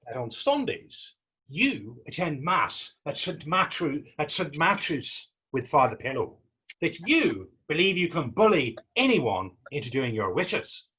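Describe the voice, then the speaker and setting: A man acts out a young Irish vagrant in a stage reading. His voice is rough-edged and fairly high, and it swings widely up and down. He sounds taunting, sarcastic and defiant, with theatrical dialect phrasing. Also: big pitch swings, a little slow, pushed and bright, full character voice, poor audio. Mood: needling. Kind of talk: readers theater stage acting.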